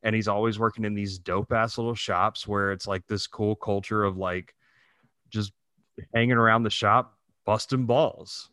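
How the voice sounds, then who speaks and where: A man from Texas with a fairly low voice, talking casually on a podcast. The sound is dry and free of room echo. His speech is slangy and full of easy swearing. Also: fairly even intonation, moderate pace, bright, forceful tone. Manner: slangy, freely profane. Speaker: podcast host. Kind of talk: casual conversational podcast chat.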